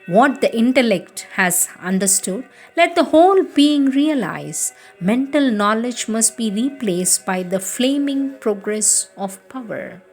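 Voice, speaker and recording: A woman reading spiritual letters aloud in a slow, measured, solemn manner. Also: on the high side, lively intonation, faint music behind. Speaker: reader.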